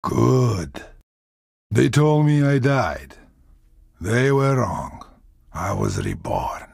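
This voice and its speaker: An actor playing a tough, wry middle-aged Russian soldier with a gravelly voice and Russian accent, in a measured, blunt video game delivery. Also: male voice, mid-range voice, sing-song, darkish tone, dry recording, studio quality, full character voice. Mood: grimly proud. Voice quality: gravelly, husky. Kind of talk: video game character voice lines.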